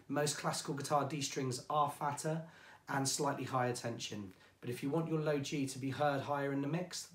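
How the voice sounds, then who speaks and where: Hoarse English man demonstrating strings. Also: medium pitch, reverberant. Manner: chatty, informal, self-deprecating. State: losing his voice. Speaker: ukulele shop presenter. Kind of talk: product demonstration.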